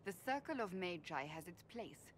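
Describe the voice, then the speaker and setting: An actress playing a stern, devout warrior woman in a video game, speaking in a formal, deliberate and solemn way. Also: fairly low pitch, sing-song, slight background noise. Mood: earnest conviction. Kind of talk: scripted video game dialogue.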